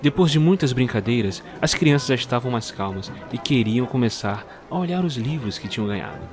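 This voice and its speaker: A man narrating a scripted storybook tale for an audio drama in a measured storyteller's manner. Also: mid-range voice, expressive, over music.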